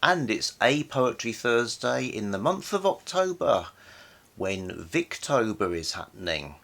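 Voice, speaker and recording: A man's voice: medium pitch, big pitch swings, measured pace, vocal fry, a bit bright. A man hosting an informal, casual chat about books and poetry. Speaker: channel host.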